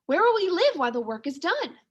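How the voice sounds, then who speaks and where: Woman voicing a timid, high-pitched storybook mouse boy. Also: sing-song, a little fast, lo-fi sound. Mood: worried. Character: timid, thoughtful male library mouse who craves coziness.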